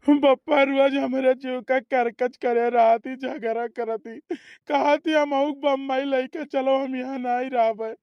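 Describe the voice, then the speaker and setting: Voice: sits high, fairly even intonation, takes it slowly, smooth, no echo, highs cut off. Someone doing a whining tearful grown son. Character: weepy grown son, complaining about his wife.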